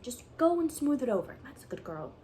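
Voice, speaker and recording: A woman voicing a shy, gentle elderly farmer who talks in a hushed, coaxing way. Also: high-pitched, very animated, fairly quick, slight background noise, pitched above the performer's own voice.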